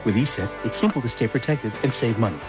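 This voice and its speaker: A man's voice: mid-range voice, fast talker. A man reading a scripted commercial as an announcer. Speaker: advert announcer. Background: guitar music bed.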